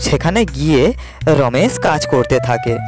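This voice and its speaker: A man's voice: mid-range voice, expressive, normal speed, music in the background. A man reading scripted storytelling narration for a cartoon folk tale.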